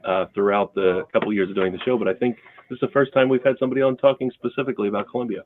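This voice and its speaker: A man with a fairly low voice hosts a casual interview. The audio is narrow and tinny, like a phone line. He speaks conversationally as he puts questions to a guest. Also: steady tone, rapid-fire, a little hiss or hum. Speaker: host.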